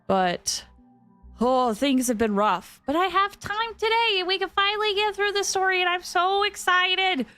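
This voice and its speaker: A woman hosting a game livestream, chatting casually with viewers in an expressive, excitable way and often repeating phrases for emphasis. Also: very high-pitched, moderate pace, no room sound, faint music behind. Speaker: game streamer and host.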